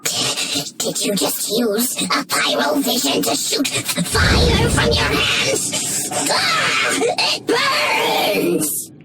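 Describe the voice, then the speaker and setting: Villainous mage creature, extremely high pitch, dragging whiny delivery, theatrical, video game, loud music. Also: wildly swooping pitch, full character voice. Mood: pained, pleading. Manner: theatrical, whining, drawn-out. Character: cornered Abyss mage villain, shrill, gloating then pleading.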